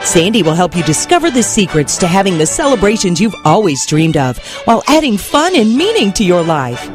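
A woman reads a scripted radio promo in a smooth, upbeat voice that rises and falls lively. Loud music plays under her throughout. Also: mid-range voice. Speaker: announcer.

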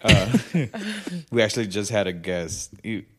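A man's voice: on the low side, measured pace, darkish tone, slightly rough. A man from San Diego hosting a podcast, chatting casually, laughing often and swearing freely. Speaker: podcast host. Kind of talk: casual chat.

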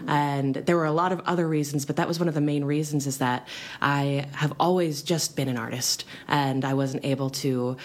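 A young woman with a notably low speaking voice chats as a guest on a radio show. She tells her stories animatedly, laughing at herself along the way. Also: normal speed, hardly any pauses. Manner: animated storytelling with frequent laughs. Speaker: musician guest. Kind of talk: casual radio interview.

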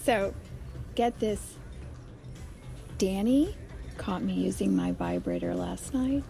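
A woman's voice: medium pitch, wildly swooping pitch, takes it slowly, vocal fry, mellow, soft background music, heavily acted. An actress playing a chatty, candid wife who confides in her girlfriends, expressively, in an audio drama. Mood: confiding, exasperated. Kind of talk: naturalistic audio drama dialogue.

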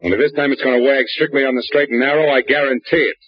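A man's voice: mid-range voice, steady tone, rapid-fire, a little hiss or hum. A man playing a hard-boiled private detective who narrates a 1940s radio drama in a dry, wisecracking, clipped way. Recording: muffled old radio broadcast.

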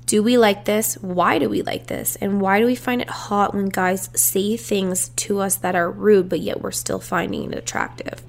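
A woman's voice: medium pitch, steady tone, normal speed, vocal fry, hardly any pauses. A young woman hosting her own podcast, chatting casually and telling stories from her childhood while her voice sounds congested and stuffy from a runny nose. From Canada. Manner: chatty, confiding, storytelling. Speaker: podcast host. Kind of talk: casual solo podcast chat.

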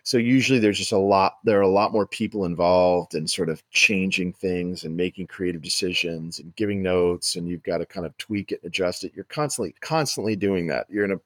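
A man's voice: deep voice, fairly quick, few pauses, no room sound. A man talking reflectively and enthusiastically as an interview guest, explaining creative work. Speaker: podcast guest, writer and director. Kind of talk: interview conversation.

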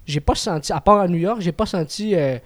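Young male podcast host, Quebec French, raised pitch, halting and filler-heavy. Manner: hesitant, fills every pause with "tu sais". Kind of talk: casual chat.

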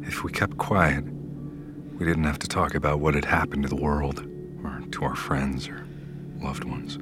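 An actor playing a wounded young survivor in an audio drama, narrating in a grave, brooding, measured way. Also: male voice, steady tone, background noise. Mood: somber, tender. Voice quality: very deep.

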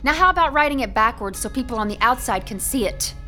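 A woman's voice: a little high, lively intonation, fast, sharp tone, soft background music. An actress playing a sarcastic, frantic young woman trapped with her partner in a horror audio drama, biting and exasperated. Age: young adult. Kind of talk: modern horror radio drama acting.

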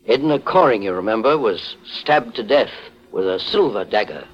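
An actor playing a calm, firm middle-aged private investigator who questions formally and politely in a golden age radio mystery. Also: male voice, lowish voice, moderate pace. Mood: brisk, commanding. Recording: muffled vintage 1940s radio recording.

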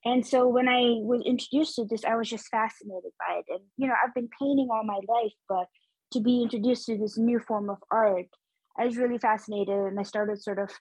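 A young woman from Nepal, an artist being interviewed, speaking thoughtfully with many fillers and lively bursts. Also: on the high side, fairly quick, slightly rough, no room sound, poor audio. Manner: thoughtful, frequent fillers, animated when excited. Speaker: muralist guest. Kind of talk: interview conversation.

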